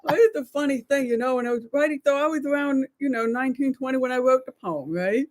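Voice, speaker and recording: A woman's voice: high voice, fast talker, darkish tone. An American woman in her sixties co-hosting a live show with casual, reflective chat. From United States. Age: 60s.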